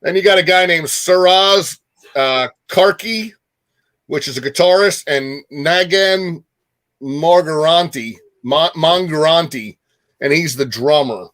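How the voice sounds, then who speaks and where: A man's voice: on the high side, slow, a bit bright. A man hosting a music show in a casual, informal chat, repeating phrases for emphasis.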